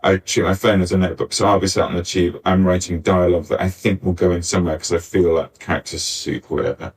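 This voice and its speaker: A man's voice: low-pitched, steady tone, fast talker, husky. A man talking informally as a panellist at a live discussion, on a distant backup recording. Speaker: panellist, pantomime scriptwriter. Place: arts centre venue, picked up from afar.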